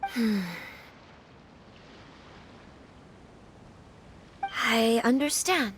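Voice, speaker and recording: A woman's voice: a little high, takes it slowly, slight background noise. A woman voicing a polite, earnest and troubled young noblewoman in a video game, speaking formally and hesitantly. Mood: pensive, conflicted. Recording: music behind the voice. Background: background game music.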